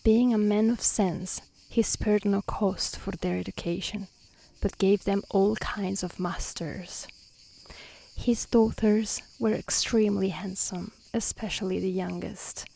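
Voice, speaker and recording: A woman with an Italian accent speaking softly and calmly in an ASMR style, choosing her words carefully as a non-native speaker, outdoors. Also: mid-range voice, a little slow, gravelly, poor audio. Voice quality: soft, gentle voice. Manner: calm, careful delivery in non-native speech. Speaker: streamer and storyteller. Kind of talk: soft-spoken ASMR reading and chat. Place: outdoors in nature near mountains.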